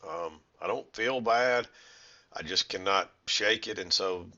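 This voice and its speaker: Man, hoarse and stuffed up, very low gravelly voice, bright edge, dull lo-fi recording. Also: a little slow. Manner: rambling, self-correcting explanations with many fillers. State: hoarse and congested from a head cold. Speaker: trading educator. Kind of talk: screen-share trading recap.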